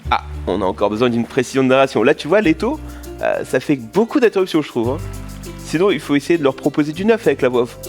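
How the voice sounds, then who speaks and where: A man with a medium-pitched voice narrates in a chatty, informal way. He drifts into asides, with faint music behind him. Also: very animated, fast. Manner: informal, digressive. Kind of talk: chatty, fourth-wall-breaking narration.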